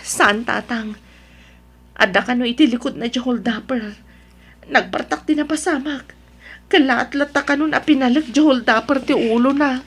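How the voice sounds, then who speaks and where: Woman as distressed, loyal wife: high-pitched, anxious, Ilocano family audio drama. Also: moderate pace, slightly rough. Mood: concerned. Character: devoted, distressed wife and mother, anxious for husband. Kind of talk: Ilocano radio-style drama acting.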